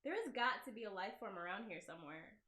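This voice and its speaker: A woman records a casual game playthrough in a roomy, echoey space. Her pitch is average for a woman. She moves between reading narration aloud and chatty, giggly, self-deprecating commentary. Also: animated, quick pace, pushed and bright. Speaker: game creator playing through own visual novel.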